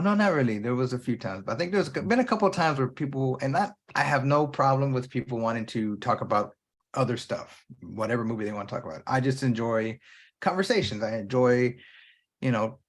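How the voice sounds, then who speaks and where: A man hosting his own movie podcast alone, talking off the cuff in a loose, rambling way and swearing freely. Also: mid-range voice. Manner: casual, unscripted, profane, jumps between thoughts. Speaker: podcast host. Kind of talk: rambling solo podcast talk.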